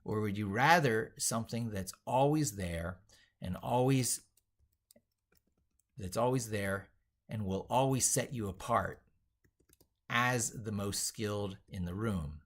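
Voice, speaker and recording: Low-pitched man, slow halting delivery. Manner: halting, repeating and reworking phrases as he types; emphatic, opinionated. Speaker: tech educator and video creator. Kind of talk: thinking aloud while drafting an opinionated tech piece.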